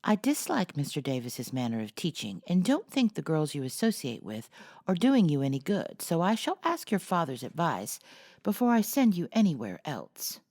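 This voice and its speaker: A principled storybook mother, acted out by a woman reader, gentle yet firm. She moralizes in measured phrases with a lively rise and fall. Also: low-pitched, exaggerated voice. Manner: measured, moralizing, kindly stern.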